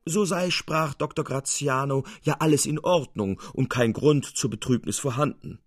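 A man's voice: medium pitch, normal speed, dry recording, soft background music. A man reading a literary tale as narrator in an audiobook style.

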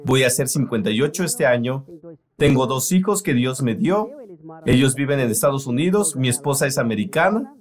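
A man's voice: mid-range voice, lively intonation, moderate pace. A man preaching a sermon in an earnest, emphatic way, voicing it as a translation.

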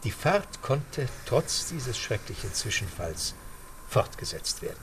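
A man giving steady, scripted storytelling narration for a radio drama. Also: medium pitch, a little slow, slight background noise.

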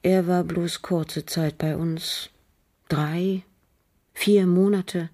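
Low, husky, guarded aristocratic widow. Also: female voice, fairly even intonation, warm tone. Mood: guarded, controlled. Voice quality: husky, breathy. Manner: measured, guarded, formal, with pauses. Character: bitter, cold aristocratic French widow under questioning. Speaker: actress in a crime radio drama. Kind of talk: German radio drama acting.

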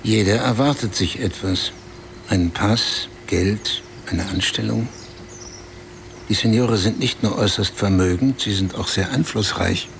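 An actor playing a desperate, battered man who tells of his humiliation in short, halting phrases in a radio play. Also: fairly low pitch, creaky, a little hiss or hum. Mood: subdued, weary. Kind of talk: radio play acting, storytelling.

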